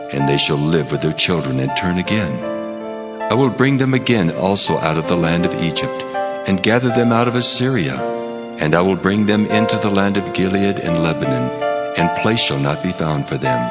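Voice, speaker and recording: An actor playing God as a solemn, commanding deity in a dramatized scripture reading, with measured declarations. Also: male voice, sits low, animated. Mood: solemn, resolute. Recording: loud music under the voice.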